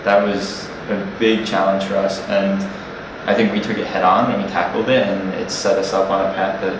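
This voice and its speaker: Deep flat-voiced young man, echoey. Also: moderate pace. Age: young adult. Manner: earnest, reflective, speaks in long building sentences. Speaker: nonprofit co-founder. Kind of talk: interview answers. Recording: echoey room, distant mic, noisy.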